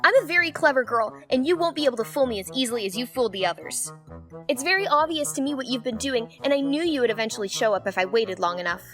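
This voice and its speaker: A woman's voice: high-pitched, lively intonation, fast, fairly bright tone, faint music behind. A woman voicing an earnest, suspicious, bossy teenage girl in a fan dub, speaking in an emphatic, lecturing way. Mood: stern, anxious.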